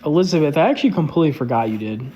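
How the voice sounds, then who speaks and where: A man's voice: a little high, expressive, very fast, warm tone, highs cut off, slight background noise. A young man chatting casually on a live stream, loose and candid, filling his talk with "like". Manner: loose and candid, heavy use of "like". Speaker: social media creator hosting a live stream.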